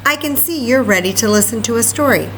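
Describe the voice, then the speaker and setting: A woman's voice: on the high side, lively intonation, fast, a bit bright, slight background noise, poor audio. A woman hosting story time for young children, chatty and encouraging, talking to them directly with frequent asides. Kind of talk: sing-song story time for young children, singing, read-aloud and chatting.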